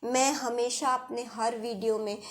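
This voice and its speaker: A woman's voice: fairly high pitch, fairly even intonation, measured pace. A woman with an Indian accent teaching a grammar lesson, explaining step by step with examples.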